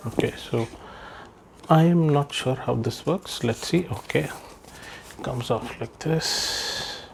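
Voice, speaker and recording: A man's voice: mid-range voice, slow, vocal fry, slight background noise. A man doing a casual unboxing vlog indoors, thinking aloud with lots of fillers as he handles the product. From India. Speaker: unboxing vlogger. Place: indoors, room with patterned floor rug.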